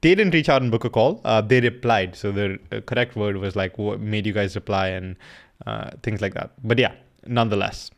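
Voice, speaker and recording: Young male host, casual slangy coach, lowish voice. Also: a little fast, vocal fry. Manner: loose, chatty, slangy coaching tone. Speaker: host explaining a recorded sales call. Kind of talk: casual commentary.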